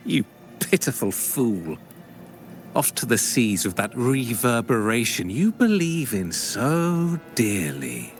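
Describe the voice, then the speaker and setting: A man's voice: mid-range voice, sing-song, slow, faint music behind. An actor playing a menacing, scornful zealot clergyman in a video game, speaking in a condescending, theatrical manner. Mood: mocking.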